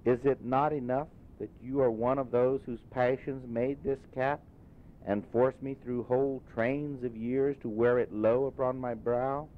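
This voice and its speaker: A solemn little spirit from a storybook, played by a male reader, speaks gently and with grave authority. The words are measured. The recording is narrow as a phone line, with light background rumble. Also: fairly even intonation, natural voice. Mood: reproachful. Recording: muffled phone-like audio, rumbling noise.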